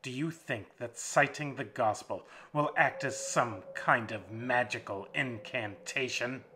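A man's voice: medium pitch, unhurried, sharp tone, a little hiss or hum. A man voicing a cruel, mocking male vampire master who sneers and gloats in a dramatic audiobook reading. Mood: scornful.

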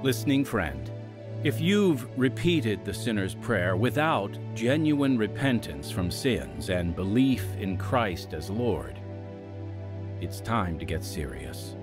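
A man announcing a radio program, reading scripted narration with an earnest, deliberate delivery. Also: fairly low pitch, very animated. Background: music.

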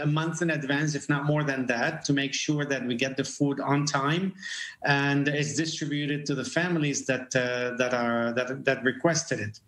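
Middle-aged man from Lebanon, remote guest, fairly high voice, halting delivery, echoey home room. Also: a bit bright. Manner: halting, many fillers and repeated words. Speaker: rabbi and interview guest. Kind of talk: remote news interview. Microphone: video call. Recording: echoey room on a video call.

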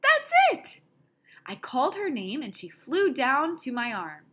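A woman reading a children's picture book aloud in an expressive style, with deliberate, dramatic phrasing. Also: sits high, slightly rough. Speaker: storybook reader.

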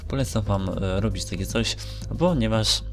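A man's voice: fairly low pitch, moderate pace. A young man giving casual, unscripted gaming commentary in a hesitant, rambling way, often correcting himself. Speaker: gaming vlogger. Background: music.

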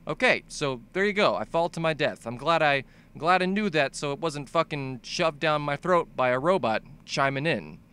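A man's voice: a little high, animated, moderate pace, few pauses, a bit bright, smooth. A young man narrating a comedic scripted video essay about games in an exaggerated, sweary, ranting manner. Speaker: video game commentator.

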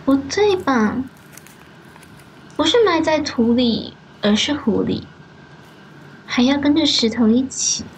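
A woman's voice: high voice, expressive, slow, pauses often, a little hiss or hum, poor audio. A woman voicing an eerie, capricious ghost girl, tender yet cold, in an amateur audio drama. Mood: eerie, insistent.

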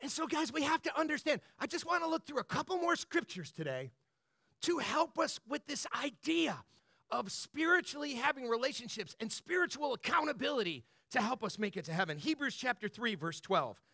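A man preaching as a pastor in a conversational, motivational style, colloquial and animated, often checking in with the listeners. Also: very high-pitched, a little fast, no room sound, clean studio sound. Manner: animated, colloquial, punctuates points with "right?" and "bro".

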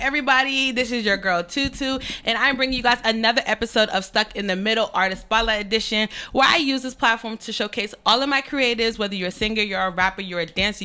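A young adult woman hosting a podcast in a small studio, chatting casually with lively slang and frequent laughter. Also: on the high side. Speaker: podcast host. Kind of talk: casual interview chat. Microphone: studio mic on stand.

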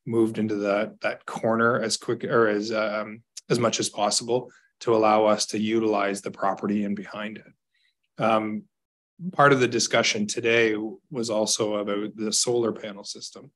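Low, flat-voiced Canadian man on a video call. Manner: measured, hesitant with fillers. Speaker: municipal staff member. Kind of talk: council meeting report. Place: small office.

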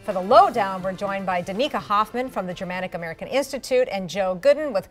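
A woman's voice: medium pitch, expressive, fast, soft background music. A woman in her thirties hosting a live TV segment in a studio kitchen set, chatty and playful. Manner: playful, jokey, laughs easily. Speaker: TV morning show host. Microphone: lapel mic.

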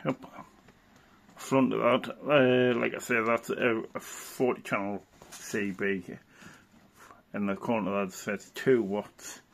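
A man's voice: on the low side, little pitch movement, slow, creaky, pauses often. A man giving an informal, unscripted hands-on demonstration, talking hesitantly and sometimes trailing off. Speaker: presenter showing vintage radio gear. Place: indoors at a worktop.

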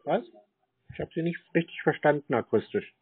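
A man on a casual prank call, teasing and playing slow on the uptake. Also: a little high, expressive, breathy edge. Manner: teasing, feigned slow-wittedness. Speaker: scam-baiting call recipient. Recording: muffled narrow phone-like sound.

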